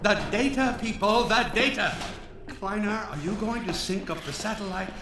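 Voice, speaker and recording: An imperious video game scientist, high-pitched and easily irritated. He scolds everyone with pompous sarcasm. The sound is distant and echoey, with clear loud noise behind him. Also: normal speed. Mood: indignant, impatient. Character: pompous, irascible male rocket scientist.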